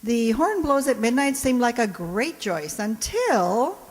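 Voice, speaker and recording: A woman with a fairly high voice introduces a show from the stage. She is chatty and wry, reading prepared remarks with a playful touch in a reverberant hall. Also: sing-song, slow pace, slightly rough. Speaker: host introducing a radio players' show. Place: indoor hall in front of an audience. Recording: echoey hall, live stage.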